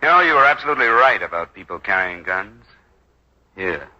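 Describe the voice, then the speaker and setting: An actor playing an earnest, uneasy hired bodyguard in a 1940s radio drama, talking in a halting, urgent, pleading way. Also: male voice, fairly low pitch, very animated, pushed and bright, full character voice. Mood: earnest, probing. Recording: dull vintage 1940s radio recording.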